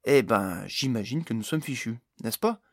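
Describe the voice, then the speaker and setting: A man's voice: mid-range voice, lively intonation, moderate pace, dry recording. A man voicing a stolid male adventurer in a fantasy audiobook, sceptical and impatient, with a dry, resigned manner. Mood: resigned, frustrated.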